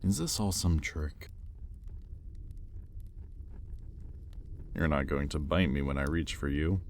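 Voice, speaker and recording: Guy voicing a sardonic demon for audio rp, super deep menacing drawl, exasperated and bossy, some noise in the recording. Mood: irritated, impatient. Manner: slow, deliberate, menacing drawl. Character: imperious male demon buyer, sardonic and exasperated. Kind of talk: intimate audio roleplay acting.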